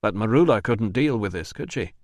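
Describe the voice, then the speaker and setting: A man's voice: on the low side, animated, fairly quick, no echo, clean studio sound, natural voice. A man doing a cool, sardonic investigator. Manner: level, sardonic. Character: calm, dry-witted male investigator. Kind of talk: audiobook dialogue.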